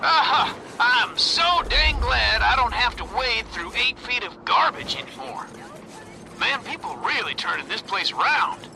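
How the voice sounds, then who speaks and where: An actor playing an excitable, paranoid, folksy slacker sidekick in a video game, with an animated delivery. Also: male voice, very high voice, measured pace, full character voice. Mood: upbeat. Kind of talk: video game cutscene acting. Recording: clear background noise.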